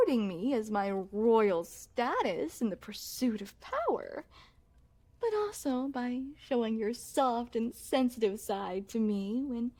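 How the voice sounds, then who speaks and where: A woman's voice: a little high, a little mellow, heavily acted. A woman playing a possessive, secretly shapeshifting queen in an ASMR roleplay, speaking formally and regally with doting menace. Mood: tender, lovestruck. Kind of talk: ASMR roleplay, scripted character acting addressed to the listener.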